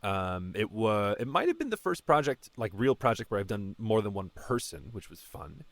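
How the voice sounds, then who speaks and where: Male, late 20s, low register, dry sound. Voice actor as interview guest: casual, rambling, self-effacing. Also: very animated, gravelly, a bit bright, dry recording. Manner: halting, rambling, self-deprecating. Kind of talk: casual conversation about a fan project.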